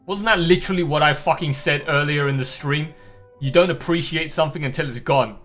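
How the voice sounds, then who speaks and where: Guy streaming a game, highish voice, blunt and sweary, faint music behind. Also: fairly quick, fairly bright tone. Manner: blunt, profane, slangy ranting. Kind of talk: casual live stream commentary.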